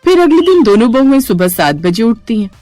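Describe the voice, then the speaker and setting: A woman's voice: fairly high pitch, sing-song, brisk, mellow, dry recording. A woman narrating a scripted moral story. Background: music.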